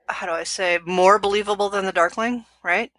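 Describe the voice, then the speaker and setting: Woman, bright forward voice, dry close sound, casual book podcast host, hesitant. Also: mid-range voice, steady tone, measured pace, no room sound.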